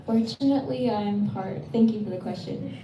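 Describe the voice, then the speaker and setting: A woman's voice: medium pitch, normal speed, dark tone, lo-fi sound. A woman translator answering audience questions at a live bookstore reading, speaking earnestly and thoughtfully with frequent restarts. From United States. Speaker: poetry translator. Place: bookstore event space. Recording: roomy, distant, noisy room sound.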